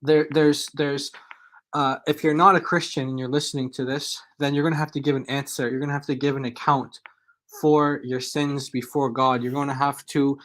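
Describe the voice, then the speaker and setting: A man's voice: medium pitch, little pitch movement, normal speed, a bit bright. A man talking casually and off the cuff as a guest on a religious discussion show, often repeating and restarting his phrases.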